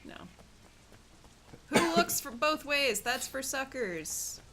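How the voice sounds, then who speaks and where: A Canadian woman hosting a casual gaming video, joking and reacting quickly, her voice gravelly today. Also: high-pitched, very animated, pushed and bright. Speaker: let's play host.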